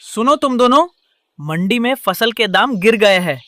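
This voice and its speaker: Man as a harsh, sharp-voiced crow boss. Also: high-pitched, expressive, a little slow, a little hiss or hum. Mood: stern. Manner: stern, commanding. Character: cunning, harsh male crow landlord boss. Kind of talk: Hindi cartoon story dialogue.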